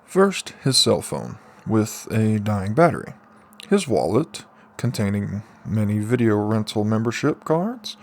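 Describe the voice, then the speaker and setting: A man's voice: lowish voice, big pitch swings, measured pace. A man reading out scripted narration in the manner of an amateur audiobook. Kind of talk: scripted light novel narration.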